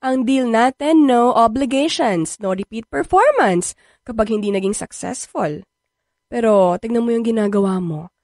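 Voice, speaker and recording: A woman's voice: fairly high pitch, animated, moderate pace, a little mellow, no room sound, studio quality. An actress playing a playful, pushy young Filipino woman in a radio drama, coquettish and wheedling as she teases. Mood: teasing.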